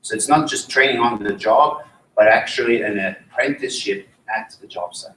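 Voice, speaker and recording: A man's voice: fairly low pitch, moderate pace, a bit bright. A man from Switzerland giving an academic conference talk and putting questions to the audience. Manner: engaging, asks the audience questions. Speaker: conference presenter. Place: conference room. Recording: roomy, mic at a distance.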